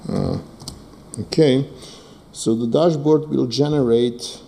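A man's voice: medium pitch, creaky, mellow. A man whose first language is Serbo-Croatian, giving a technical presentation with many fillers and frequent "right?" checks. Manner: hesitant, many fillers, asks "right?" often.

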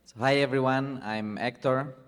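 A man's voice: mid-range voice, steady tone, normal speed, clean tone. Guy, deputy secretary, hesitant, trails off, hall echo. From Spain. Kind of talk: self-introduction on a panel. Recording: echoey conference hall.

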